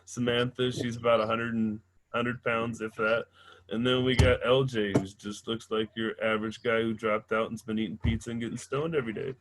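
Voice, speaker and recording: A man's voice: mid-range voice, flat delivery, brisk, noisy background. A man hosting a comedy show, chatting loosely with the audience and introducing the next act, swearing casually.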